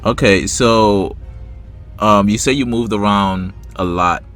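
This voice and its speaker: Low-voiced hesitant male podcast host. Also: takes it slowly, a bit bright, faint music behind. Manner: hesitant, repeats words. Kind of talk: casual interview.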